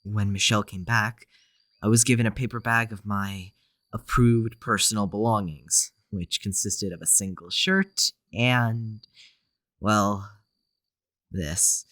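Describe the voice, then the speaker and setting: A man's voice: lowish voice, slow pace, fairly bright tone, no echo. A man playing a tired, withdrawn young psychiatric patient in an audio drama, speaking in an anxious, subdued, hesitant way. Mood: hesitant, resigned.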